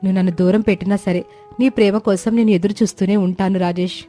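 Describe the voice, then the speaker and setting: A woman voicing a gentle, devoted young orphan woman in a cartoon story, earnest and pleading in manner. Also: mid-range voice, steady tone, fast, soft background music, close to an everyday voice. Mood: hurt, pleading.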